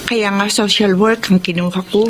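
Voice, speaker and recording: An actress playing a modest, self-doubting social worker in a Filipino radio drama, polite and hesitant, with a Filipino accent. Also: female voice, medium pitch, steady tone, moderate pace, background noise, lightly acted. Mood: heartfelt.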